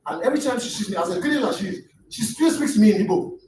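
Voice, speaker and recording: Fast, high-voiced Nigerian man, Yoruba native, giving a fervent lecture from far off the mic in an echoey hall. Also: expressive. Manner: impassioned, rising to near shouts, stammering restarts. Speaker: guest lecturer. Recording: echoey room, distant mic, faint noise.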